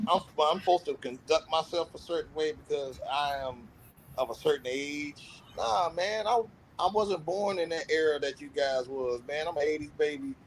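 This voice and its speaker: A man's voice: a little high, moderate pace, slight background noise, poor audio. A man in his thirties speaking candidly and reflectively about himself in a casual podcast conversation. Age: 30s. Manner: earnest, self-reflective, opens up about feelings. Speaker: podcast panelist.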